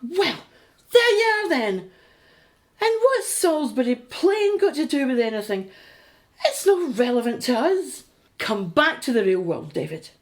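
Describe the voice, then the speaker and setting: A practical, sceptical middle-aged British wife in a radio drama. Her voice is high and very animated, full of exasperation and sarcasm. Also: a little slow, full character voice. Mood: sarcastic, exasperated. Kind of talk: radio play acting.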